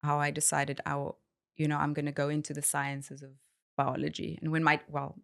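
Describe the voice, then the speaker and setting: Female podcast guest, low-pitched with creak, Afrikaans-accented South African, native Afrikaans, relaxed conversational storyteller. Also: fairly quick. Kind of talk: casual interview chat.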